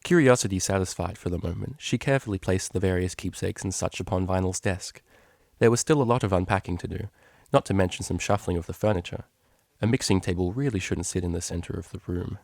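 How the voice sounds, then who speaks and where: A man with a fairly low voice narrates a scripted story. He reads as a storyteller, and the recording is dry with very little room sound. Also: quick pace, creaky, a little mellow, no room sound. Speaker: story reader.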